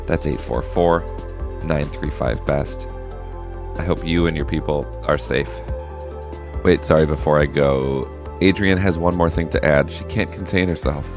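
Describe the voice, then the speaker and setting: A man hosting a podcast, reading an outro to listeners. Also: a bass voice. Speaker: podcast host.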